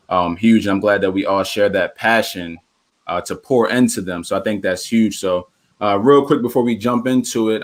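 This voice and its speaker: A man hosting a casual video call chat, talking in a relaxed way with easy slang. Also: lowish voice. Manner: relaxed, warm slang-laced talk. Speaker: host.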